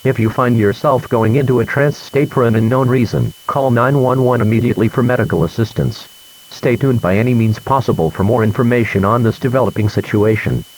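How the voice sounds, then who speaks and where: A man's voice: mid-range voice, brisk, darkish tone, close to an everyday voice, low-quality recording. A man playing a stern emergency broadcast announcer, giving formal instructions.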